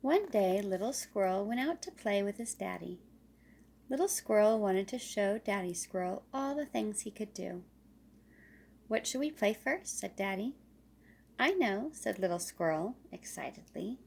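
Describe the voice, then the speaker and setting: A woman reading a children's story aloud as scripted narration, expressive and deliberate. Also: medium pitch, vocal fry.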